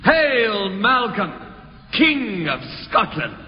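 A British actor playing a righteous, vengeful noble warrior, declaiming theatrically in 1950s Shakespearean radio style. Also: male voice, sits high, very animated, drawn-out words, exaggerated voice. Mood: triumphant. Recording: dull reverberant 1950s radio, loud music behind.

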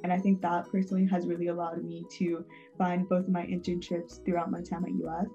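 A young woman from Venezuela, an engineering student, answering interview questions calmly over a video call. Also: fairly low pitch, brisk, dull audio, soft background music. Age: young adult.

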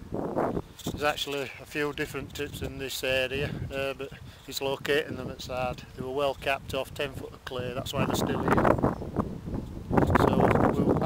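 A man in his forties with a Yorkshire accent, commenting drily on his bottle dig as he films it outdoors in the countryside. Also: mid-range voice. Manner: dry, wry understatement. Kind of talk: casual commentary while digging. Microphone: handheld or worn camera close to face. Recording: outdoor camera mic with clear background noise.

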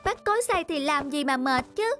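A small childlike windmill fan in a cartoon, with a very high voice. It is naive, earnest and restless. Children's music plays clearly behind it. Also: animated, moderate pace. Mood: indignant, scheming. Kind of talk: cartoon acting.

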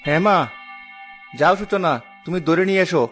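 A man's voice: sits high, fairly even intonation, fairly quick, a bit bright. A man voicing a stern village son guarding the family's tree, in a declamatory children's cartoon style. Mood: stern, threatening. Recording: music behind the voice.

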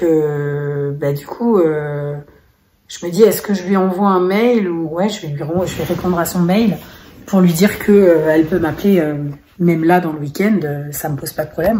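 A woman in her thirties chatting casually into her phone at home for a daily family vlog, rambling with many fillers, her voice a little hoarse. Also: lowish voice, warm tone. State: slightly hoarse. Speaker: vlogger, single mother of five. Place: home, living room and kitchen, indoors. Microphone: phone held at arm's length.

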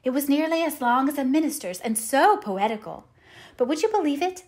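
A woman's voice: high voice, lively intonation, fairly quick, darkish tone, voice pushed up. A woman voicing an eager, dreamy orphan girl who talks earnestly and effusively, as in an audiobook. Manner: effusive, earnest, dramatic phrasing. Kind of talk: audiobook character voice.

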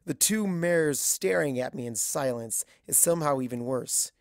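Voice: mid-range voice, expressive, normal speed, no room sound, studio quality. A narrator reading a scripted first-person story aloud.

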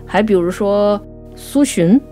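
A woman co-hosting a conversational talk on classical poetry, explaining things and reciting verse slowly. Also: mid-range voice, expressive, warm tone, soft background music. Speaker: writer and co-host.

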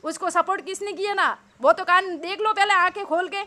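A woman's voice: very high voice, fairly even intonation, a little fast, bright, forceful tone. A woman with a Rajasthani accent in a heated phone argument, combative and insistent, repeating herself to talk over interruptions. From Jalore, Rajasthan, India.

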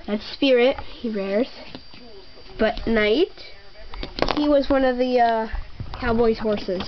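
Young girl, slow and rambling, a bit high, dull home-camera sound, faint hiss. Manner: slow, rambling, with many ums and pauses. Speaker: toy barn tour guide. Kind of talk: casual home video narration. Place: bedroom at home. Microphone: handheld camera mic.

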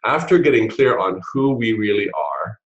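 A middle-aged man hosting an interview, asking questions in a measured, thoughtful way over a video call from home. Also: on the low side, big pitch swings, muffled recording. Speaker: host. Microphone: video call webcam.

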